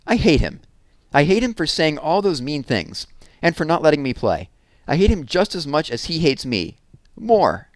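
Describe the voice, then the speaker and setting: Man doing an indignant, high-pitched young girl. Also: sing-song, moderate pace, no echo. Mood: angry. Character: young girl Jessie, indignant, earnest little sister.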